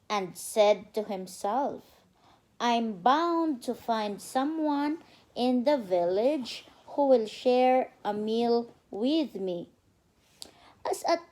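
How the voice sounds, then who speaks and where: A woman's voice: fairly high pitch, warm tone, poor audio. A woman, a mother reading a bedtime story aloud and talking a small child through it with instructive prompts. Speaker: mother reading to her toddler. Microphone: phone camera.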